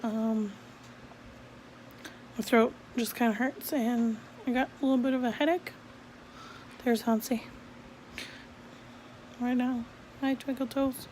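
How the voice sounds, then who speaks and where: A young woman vlogging on a phone camera, tired after surgery, talking in a drowsy, drawn-out, giggly way. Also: a little high, steady tone, rough recording. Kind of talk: casual video diary. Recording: loud fan hum behind.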